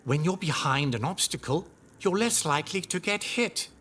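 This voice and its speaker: A man's voice: a little high, animated, normal speed, fairly bright tone, slight background noise. A man voicing a cowardly, shifty little thief in a comic fantasy game, jittery and full of excuses. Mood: nervous. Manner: jittery, self-justifying.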